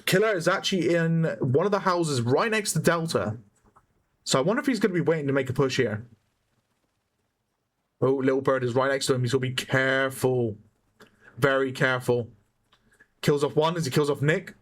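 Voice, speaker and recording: A man with a hoarse voice giving chatty play-by-play commentary on a competitive online shooter match, often correcting himself. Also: medium pitch, animated, normal speed, long pauses. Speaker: esports caster. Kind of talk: live game commentary.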